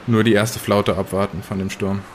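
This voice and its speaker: An evasive ferryman rescued at sea, acted by a man in an improvised radio play. He is terse and hesitant, in a low, flat voice with faint background noise. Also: normal speed, lightly acted. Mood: evasive, subdued. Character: evasive, reticent male rescued ferry worker. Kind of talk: improvised in-character audio drama retelling.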